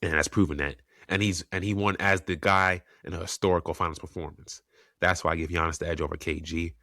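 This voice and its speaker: A man with a very low voice that still carries a bright, forceful edge, sounding congested because he is under the weather. He hosts his own sports podcast, arguing his rankings in an opinionated way that circles back on itself. The recording is dry and close, with no room sound. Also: fairly even intonation, a little fast, no echo. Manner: opinionated, thinking aloud with restarts. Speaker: podcast host. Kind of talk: solo sports commentary podcast.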